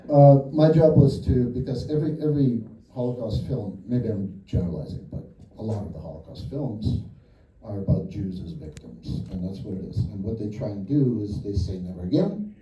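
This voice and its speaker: A film director answering audience questions after a screening, thinking aloud with lots of fillers and restarts. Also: male voice, lowish voice, normal speed, dark tone, highs cut off. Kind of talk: post-screening Q&A. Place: cinema auditorium. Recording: echoey theater from far off.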